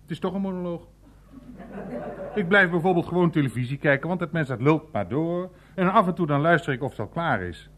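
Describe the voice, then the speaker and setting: A man's voice: on the high side, lively intonation, fairly quick, a bit bright, a little hiss or hum. A man playing a blunt, irreverent older uncle in a naturalistic radio play, grumbling and swearing as he rambles. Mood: wry, chatty.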